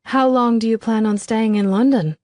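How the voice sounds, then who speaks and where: A woman putting on a perky, chatty young woman, higher and brighter than the narration, storybook read. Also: moderate pace, no echo, natural voice. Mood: bubbly. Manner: bubbly, eager, chatty.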